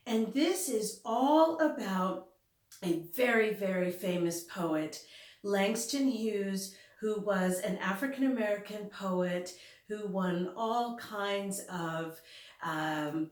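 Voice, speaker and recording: A woman reading a picture book aloud to children, expressively, pausing to speak directly to her young listeners. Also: medium pitch, roomy sound. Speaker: children's story reader.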